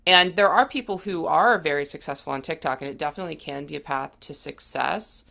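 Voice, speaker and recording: A woman's voice: low-pitched, brisk, gravelly, pushed and bright. An American woman, around her thirties, chatting and explaining things casually as an interview guest. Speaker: interview guest, guitarist and songwriter.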